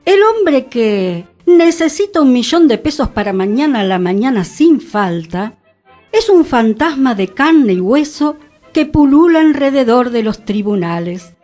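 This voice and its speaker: A woman's voice: high-pitched, sing-song, measured pace, mellow. A woman with a Buenos Aires accent presenting a radio show and reading literature aloud expressively, over a music bed. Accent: Argentine, Buenos Aires.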